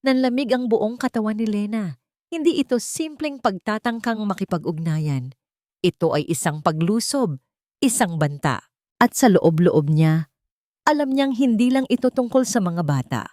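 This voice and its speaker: A woman's voice: mid-range voice, animated, normal speed, a little mellow, no echo, clean studio sound. A woman with a Filipino accent narrating a scripted romantic drama as a storyteller.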